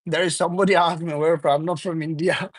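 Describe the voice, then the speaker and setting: Young male streamer, slightly high voice, from Bangladesh; loose, chuckling banter with chat, dry close sound. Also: steady tone, quick pace, no echo. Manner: chuckles often, reads viewer comments aloud; loose, repetitive, teasing banter. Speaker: livestream host. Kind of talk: casual live chat with viewers.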